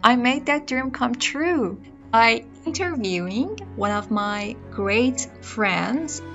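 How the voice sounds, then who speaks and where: A woman's voice: on the high side, expressive, takes it slowly, muffled recording, music in the background. Upbeat woman podcast host. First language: Persian. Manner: upbeat, laughing between phrases. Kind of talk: podcast intro and interview.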